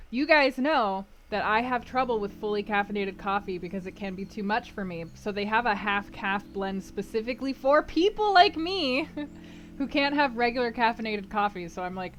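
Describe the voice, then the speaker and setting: A woman hosting a live-streamed book club, chatting casually with her viewers in a rambling way and laughing often. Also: mid-range voice. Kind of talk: casual chat with live chat before a stream reading.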